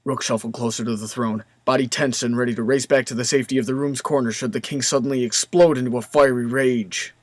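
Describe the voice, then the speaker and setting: A man's voice: medium pitch, steady tone, fast, few pauses, darkish tone, dry recording. A man reading scripted story narration as the narrator.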